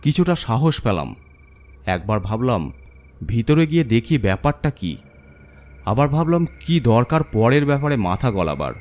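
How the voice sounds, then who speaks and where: A man's voice: medium pitch, big pitch swings, moderate pace, pauses often, darkish tone. A man narrating a first-person horror story in a theatrical, suspenseful way. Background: music.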